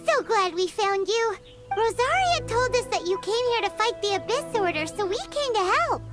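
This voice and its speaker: A tiny floating sprite girl with a squeaky, childlike voice that leaps wildly up and down. She is chatty, excitable and teasing. Loud game music plays behind her. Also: moderate pace. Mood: chirpy. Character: tiny chirpy floating female companion sprite.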